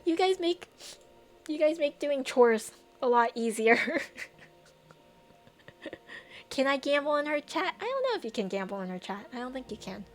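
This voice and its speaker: A woman's voice: sits high, sing-song, moderate pace, long pauses. A woman streamer chatting casually with viewers, rambling, trailing off and laughing often, her voice a little tired. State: voice getting tired. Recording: faint music behind.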